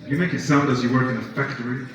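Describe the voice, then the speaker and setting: Echoey, distant stage actor as a pleading, frustrated boyfriend. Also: male voice, mid-range voice, little pitch movement, fast talker, a crowd in the background, close to an everyday voice. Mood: sarcastic, needling. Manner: insistent, pleading, repeats himself. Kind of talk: live stage play acting. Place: live theatre stage, large indoor room. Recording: echoey hall, distant mic, dull, audience noise.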